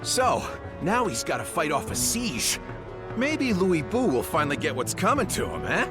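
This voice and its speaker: Gossipy common man, high voice, animated swoops, excitable, game dialogue, music behind. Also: moderate pace. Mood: gloating. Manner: gossipy, colloquial.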